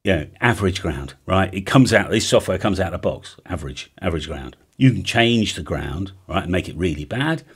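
Middle-aged English male presenter, low and husky, informal technical explainer, chatty and emphatic. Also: lively intonation, a little fast, hardly any pauses. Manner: conversational, emphatic, checks in with "right?". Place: home music studio room, indoors.

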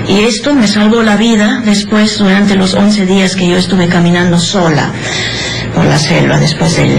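Woman guest from Peru, calm and measured, mid-range voice, studio desk mic with a faint noise floor. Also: medium pitch, creaky. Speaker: guest biologist. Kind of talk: radio studio interview. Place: radio studio. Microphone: studio desk microphone.

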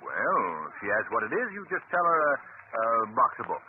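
An actor playing a genial, confident, well-to-do gentleman in a 1930s radio drama. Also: mid-range voice, huge pitch swings, normal speed. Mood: breezy, amused. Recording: scratchy, tinny 1930s radio recording.

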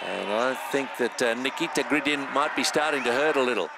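Male race commentator, mid-pitched, steady measured delivery, noisy outdoor broadcast feed. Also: creaky, a crowd in the background. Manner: measured, steady play-by-play with filler pauses. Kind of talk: live sports commentary.

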